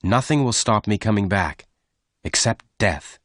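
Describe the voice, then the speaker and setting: A man voicing a steady young cowboy who speaks firmly and reassuringly. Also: fairly low pitch, unhurried, no room sound, studio quality.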